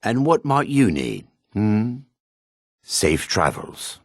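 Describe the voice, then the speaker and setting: An actor playing a gruff, suspicious middle-aged dark elf man in a fantasy video game, raspy and curt with a wary, sneering edge. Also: on the low side, very animated, slow pace, no echo, clean studio sound, heavily acted. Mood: calm, dry. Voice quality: gravelly, raspy. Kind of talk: video game character barks.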